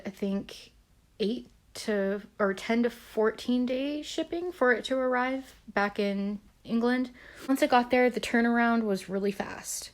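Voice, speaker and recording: A young woman chatting casually in a home vlog, a bit nasal as she gets over a cold, rambling with lots of fillers. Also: mid-range voice. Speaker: vlogger. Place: small bedroom indoors.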